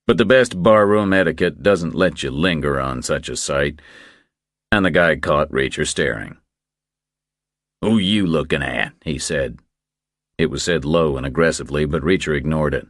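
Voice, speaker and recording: A man's voice: very deep voice, fairly bright tone, dry recording, studio quality. A man reading a thriller novel aloud as scripted audiobook narration.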